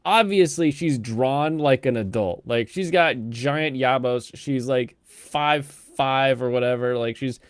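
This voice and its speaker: A man casually reviewing anime with friends, rambling and animated, often slipping into mocking quoted voices. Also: medium pitch, slow, hardly any pauses, smooth, no room sound, studio quality. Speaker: host.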